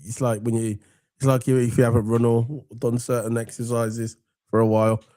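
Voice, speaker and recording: An English man with a croaky, cold-worn voice hosts a casual football chat, rambling on and giving shout-outs to viewers. His tone sits mellow and dark, and the sound is dry and close. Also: mid-range voice, level tone, moderate pace, dry recording. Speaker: football podcast host.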